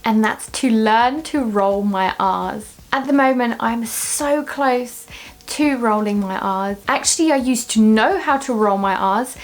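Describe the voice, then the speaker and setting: Chatty woman with a highish voice. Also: a little slow. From Russia, raised in England from age seven. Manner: chatty and explanatory, with frequent ums and restarts. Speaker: parenting vlogger. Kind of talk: casual talking-to-camera vlog.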